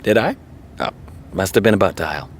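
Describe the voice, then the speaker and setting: A man's voice: sits low, normal speed. A man playing a wry, lonely guy. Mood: deadpan. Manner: dry, deadpan joking. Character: lonely, wry man, maybe still drunk. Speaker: Warren. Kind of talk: web series drama acting.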